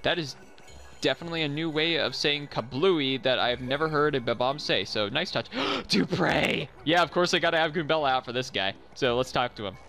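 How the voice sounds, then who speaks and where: A man's voice: on the high side, animated, moderate pace, gravelly, bright, forceful tone. A young man giving chatty, self-deprecating commentary over recorded gameplay. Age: young adult. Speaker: let's play commentator.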